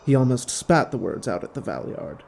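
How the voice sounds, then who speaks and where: A man's voice: mid-range voice, big pitch swings, normal speed, gravelly, a little mellow. A man reading scripted story narration for an audio drama, with music underneath.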